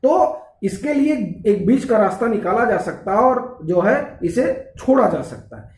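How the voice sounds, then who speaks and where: High-pitched man giving emphatic political commentary. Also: very animated, unhurried, a little mellow. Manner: emphatic, rhetorical questions and repeated phrases. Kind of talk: unscripted political commentary addressed to viewers. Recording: echoey, roomy sound.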